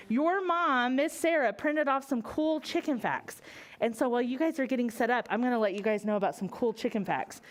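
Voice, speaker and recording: A woman hosting a story time for children at a library, talking in an expressive, exclamatory way straight to her young audience. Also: high-pitched. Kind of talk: enthusiastic presentation to children.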